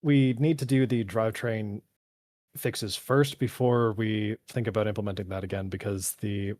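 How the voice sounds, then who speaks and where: A man's voice: lowish voice, fairly even intonation, moderate pace, darkish tone. A man who is a game developer, answering community questions in a casual live Q&A, rambling technically with a voice tired after hours of talking. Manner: rambling, technical, thinking aloud.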